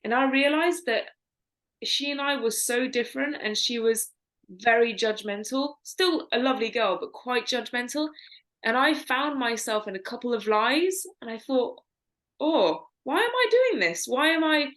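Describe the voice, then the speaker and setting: A woman's voice: fairly high pitch, expressive, moderate pace, fairly bright tone. A woman co-hosting a podcast, giving earnest, chatty dating advice. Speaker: podcast co-host. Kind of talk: casual chat.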